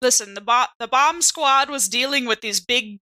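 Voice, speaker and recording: A woman's voice: a little high, moderate pace, bright, forceful tone, no room sound. A woman playing an evasive, irritable space station snoop in an improvised tabletop game, dry and exasperated in manner. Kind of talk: improvised in-character tabletop roleplay.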